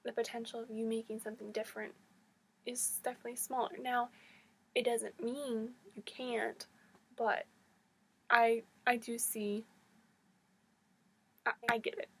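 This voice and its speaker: A woman's voice: on the high side, flat delivery, a little slow, long pauses, fairly bright tone, rough recording. A woman losing her voice, talking in a casual, reflective monologue, hesitant and often restarting her thoughts. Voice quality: husky, voice cracking in and out. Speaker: vlogger.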